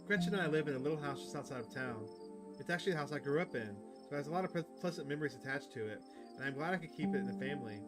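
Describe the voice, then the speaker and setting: A man reads as an upbeat, talkative outdoorsy woman from a visual novel, a keen cryptid hunter. The voice sits fairly high for a man, with music clearly behind it. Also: expressive, racing, lightly acted. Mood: chatty, fond. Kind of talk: visual novel dialogue read aloud.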